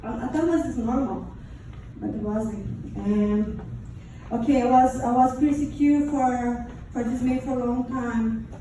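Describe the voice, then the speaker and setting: Echoey, halting Brazilian woman, high voice. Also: warm tone. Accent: Brazilian Portuguese. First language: Portuguese. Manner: halting, with non-native English phrasing. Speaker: survivor giving testimony. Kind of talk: personal testimony to an audience. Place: large echoey room, before an audience. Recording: very echoey room, distant mic, faint noise.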